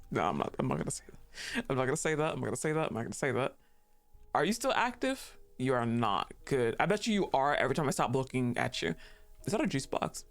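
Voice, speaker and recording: A woman streaming a game live, giggling often, swearing freely and gushing thanks to her chat. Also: sits low.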